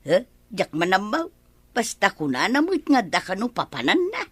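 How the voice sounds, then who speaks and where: A woman's voice: medium pitch, very animated, normal speed, fairly bright tone, slightly rough, no echo. An actress with an Ilocano accent playing an exasperated, complaining village woman in a comedy radio drama.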